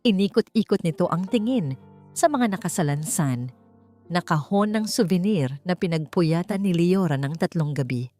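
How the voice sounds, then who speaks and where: A woman's voice: lowish voice, animated, moderate pace, dark tone. A woman narrator reading a scripted story in a dramatic storytelling style. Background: background music.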